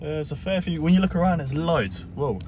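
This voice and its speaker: A man's voice: on the high side, fairly quick. A young man with a head cold, vlogging casually about cars, chatty and prone to laughing. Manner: chatty, laughs often, gets excited. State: bunged up with a cold. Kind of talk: casual car vlog chat. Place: outdoors at a car meet. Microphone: phone camera. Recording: faint noise, phone audio.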